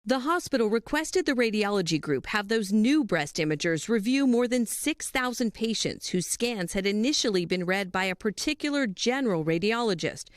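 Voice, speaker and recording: American female news reporter, medium pitch, very dry studio narration. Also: animated, moderate pace, hardly any pauses, dry recording.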